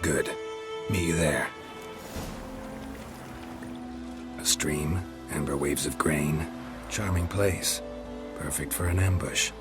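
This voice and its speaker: An actor playing a grim, stoic middle-aged monster hunter, speaking in terse, clipped, deadpan lines for a game cutscene. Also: male voice, extremely low pitch, a little slow, music in the background. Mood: dry. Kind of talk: video game cutscene acting. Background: orchestral game score.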